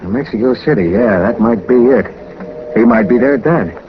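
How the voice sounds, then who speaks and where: A noisy, dull vintage radio recording of a man playing a tough American homicide lieutenant. He has a mid-pitched voice and a wry, slangy, hard-boiled way of talking from 1940s radio drama. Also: normal speed, lightly acted. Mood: businesslike, insistent.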